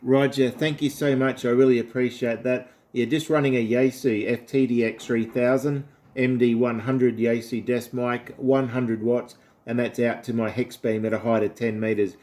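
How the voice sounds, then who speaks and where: A man's voice: mid-range voice, level tone, moderate pace, few pauses, a little mellow. An Australian man talking casually and politely as an amateur radio operator. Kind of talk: casual ham radio chat.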